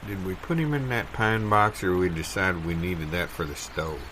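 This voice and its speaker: Improv comedy: man as picky, folksy grumbling digger, very low voice. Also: normal speed, bright, forceful tone. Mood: scheming. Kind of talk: improvised audio comedy sketch. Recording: clear background ambience noise.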